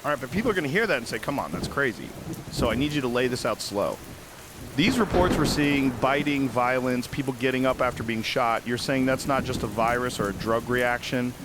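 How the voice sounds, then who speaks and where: A man's voice: medium pitch, expressive, close to an everyday voice. Skeptical male-acted podcast host over rain noise. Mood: skeptical, probing. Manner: conversational, probing, measured. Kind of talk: fictional apocalypse podcast interview. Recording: noisy audio with rain.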